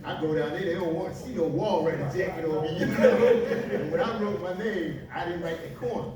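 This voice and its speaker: A man's voice: on the high side, a little fast, distant mic, over a noisy crowd. A seventy-year-old man from Philadelphia, a panelist reminiscing and joking with the audience, often laughing. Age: 70s. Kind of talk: panel storytelling. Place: large library event room. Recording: echoey hall with audience, recorded from afar.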